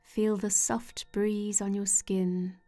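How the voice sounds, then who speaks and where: A woman leading a scripted guided meditation in a gentle, soothing way with measured pauses. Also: mid-range voice, flat delivery. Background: soft meditation music with singing-bowl tones.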